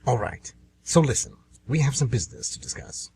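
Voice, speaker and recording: A man's voice: medium pitch, big pitch swings, fairly quick, gravelly, dark tone. A man playing the Devil as an anxious, aggrieved, scheming businessman in a comedic amateur radio play, sardonic and always bargaining. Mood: persuading, businesslike. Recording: noisy amateur radio recording with hum.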